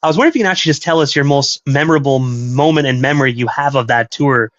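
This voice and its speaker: A man's voice: mid-range voice, racing, a bit bright, no echo, muffled recording. A man hosting a casual radio interview, chatty and eager, asking long winding questions. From Canada. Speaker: radio host.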